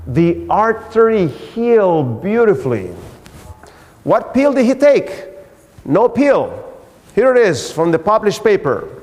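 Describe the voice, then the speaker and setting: A man's voice: fairly high pitch, darkish tone. A man giving a health lecture in a church hall, explaining emphatically with rhetorical questions. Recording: echoey hall with long reverb.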